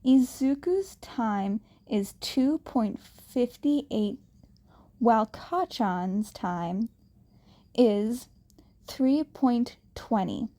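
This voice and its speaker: A woman reading a fanfiction story aloud, slowly and haltingly, with some restarts, and she raises her pitch when she voices the characters. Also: lively intonation, warm tone. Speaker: fanfiction reader.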